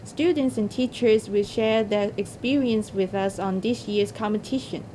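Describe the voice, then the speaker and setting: A woman's voice: normal speed, dark tone. A woman reading a scripted, formal announcement as presenter of competition results. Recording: noisy recording with low rumble.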